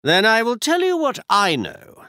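A cool, insistent detective who keeps pressing his point, as a man reads him aloud. The voice sits high and strong for the part, ranging widely, and the recording is dry and close. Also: wildly swooping pitch, unhurried, pushed and bright, clean tone, no echo, heavily acted. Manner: firm, insistent.

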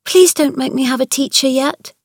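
A woman's voice: high-pitched, normal speed, no room sound, clean studio sound, voice pushed up. A woman voicing a timid, thin little girl who speaks stiffly and with a trembling voice. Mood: anxious pleading.

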